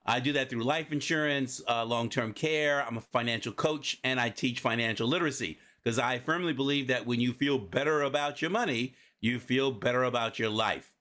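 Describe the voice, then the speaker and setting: A friendly man at a mid-range pitch opens a live community briefing and introduces himself and his co-host. He speaks in a measured way with the odd filler, over a video call mic. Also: pushed and bright.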